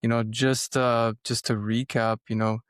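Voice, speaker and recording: Casting: American male host, even steady pitch, smooth voice, casual interviewer. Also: unhurried, dry recording, studio quality. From United States. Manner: frequent "mm-hmm" backchannels, loose phrasing. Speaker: podcast host.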